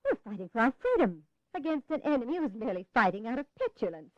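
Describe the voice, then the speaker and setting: A woman's voice: fairly high pitch, sing-song, quick pace, no room sound. Spirited patriotic colonial lady, gracious, earnest, formal speech, history audio drama, slightly dull lo-fi sound.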